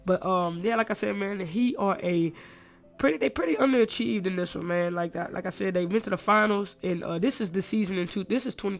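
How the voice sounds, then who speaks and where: A young man with a congested voice, commenting casually over his own basketball video game highlights and saying "man" all the time. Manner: fast, loose talk, says "man" constantly. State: congested, under the weather. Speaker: gaming YouTuber.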